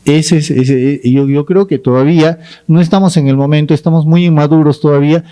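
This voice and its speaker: A man from Peru on a debate panel, explaining his position with halting restarts. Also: fairly high pitch, steady tone, dark tone, smooth.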